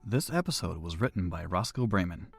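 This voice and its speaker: A man delivers a scripted outro and credits over music. His voice is fairly low, with strongly animated pitch movement, like a producer addressing the audience. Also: fairly quick, gravelly. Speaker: writer and producer announcing credits. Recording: music under the voice.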